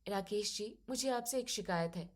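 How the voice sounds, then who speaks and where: A young, educated daughter-in-law in a family drama story, played by a woman. She speaks softly and politely, yet reasons firmly and with quiet determination. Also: medium pitch, normal speed, natural voice. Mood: hurt, resolute. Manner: polite but firm and reasoning.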